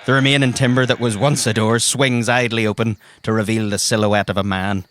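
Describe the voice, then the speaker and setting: A man's voice: lowish voice, little pitch movement, fairly quick, a bit bright, slight background noise. A man narrates a tabletop adventure dramatically in the second person as game master. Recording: music bed behind the voice. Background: background music.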